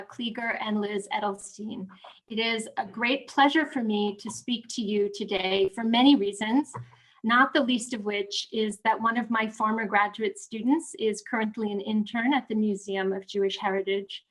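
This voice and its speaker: A woman's voice: mid-range voice, a bit bright, poor audio. A woman from the northeastern United States with an American accent, giving prepared remarks as a guest lecturer and professor. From New Haven, Connecticut, United States.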